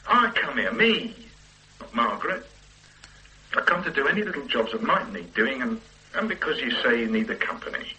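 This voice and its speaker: A man's voice: mid-range voice, big pitch swings, moderate pace, sharp tone, poor audio. A man playing a young detective and dutiful son in a radio drama, patient but defensive under pressure. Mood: strained. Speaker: police detective.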